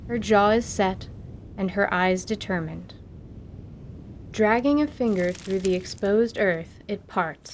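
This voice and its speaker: A woman delivers scripted dramatic narration as the storyteller of a fantasy audio play. Noticeable noise runs behind her voice. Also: mid-range voice.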